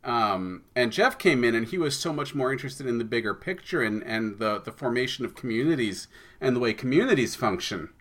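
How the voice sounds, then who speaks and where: A man's voice: medium pitch, lively intonation, brisk, fairly bright tone. A man giving considered, explanatory answers as a guest in a casual interview. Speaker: podcast guest, audio drama co-creator.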